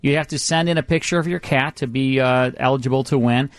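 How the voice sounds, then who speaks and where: A man's voice: mid-range voice, steady tone, quick pace, no echo. A man hosting a radio program conversationally, often repeating words as he goes. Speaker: radio host.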